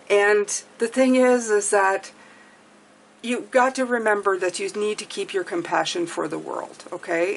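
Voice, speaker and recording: A woman's voice: medium pitch, vocal fry, slight background noise. A woman whose first language is French, speaking English with a French accent, gives a casual tarot reading and keeps checking in with "okay?". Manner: chatty, reassuring, often ends with "okay?". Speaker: tarot reader.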